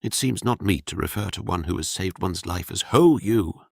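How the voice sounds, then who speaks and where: A man's voice: deep voice, expressive, moderate pace, vocal fry, no room sound, clean studio sound, exaggerated voice. A man voicing a wandering former king, courteous and wry, with old-fashioned courtly speech.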